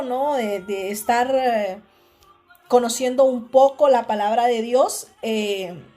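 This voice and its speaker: Honduran woman, warm-toned preacher style, informal with lots of "right?" asides; light music bed. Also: on the high side. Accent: Honduran Spanish. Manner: conversational, many restarts and tag questions. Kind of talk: informal devotional talk.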